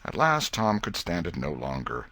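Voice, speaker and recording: A man's voice: low voice, huge pitch swings, moderate pace, sharp tone. A man reading literary fiction as an audiobook narrator in a scripted storytelling style.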